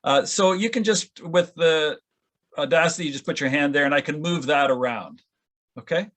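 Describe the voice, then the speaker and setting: Man giving a halting software walkthrough. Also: on the high side. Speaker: audio editor demonstrating at a conference session. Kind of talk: informal software tutorial. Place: online video call with screen sharing.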